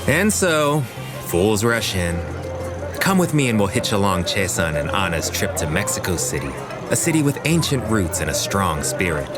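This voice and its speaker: A man's voice: on the low side, big pitch swings, moderate pace, over loud music. A man playing a playful, flamboyant storyteller who narrates a scripted romance in a theatrical way. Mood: exuberant.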